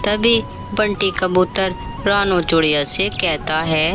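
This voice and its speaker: A woman's voice: on the low side, slow. A woman narrating a scripted children's story as the storyteller. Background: loud music.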